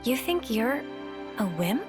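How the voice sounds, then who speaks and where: A woman's voice: fairly high pitch, big pitch swings, slow pace, music in the background. An actress playing a timid, sweet, self-doubting young woman in a video game, hesitant and full of wonder. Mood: unsure, envious. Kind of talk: video game cutscene acting.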